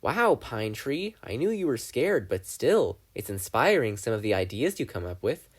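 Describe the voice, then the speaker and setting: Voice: mid-range voice, big pitch swings, moderate pace, breathy edge. A reader voicing a gleeful, menacing male triangle dream demon who taunts and mocks, in a fan fiction audiobook. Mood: mocking. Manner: taunting, theatrical, mocking.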